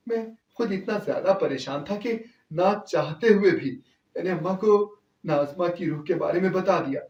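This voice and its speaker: A man's voice: a little high, a little mellow. A man narrating a scripted first-person story in an emotive, theatrical storytelling manner. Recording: echoey, distant-sounding voice.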